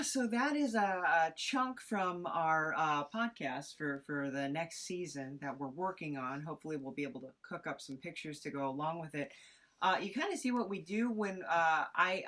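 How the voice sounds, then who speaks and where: Low-voiced woman chatting casually. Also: animated, fairly bright tone. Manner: rambling, halting. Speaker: podcast creator and director. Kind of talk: casual, unscripted chat.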